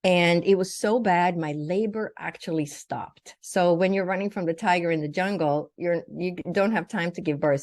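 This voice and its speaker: A woman's voice: on the low side, a little mellow, no echo. An older woman from Sweden tells a personal story in vivid detail, as a guest in an interview. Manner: vivid, detailed telling with acted-out quotes. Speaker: guest, naturopathic doctor and spiritual teacher.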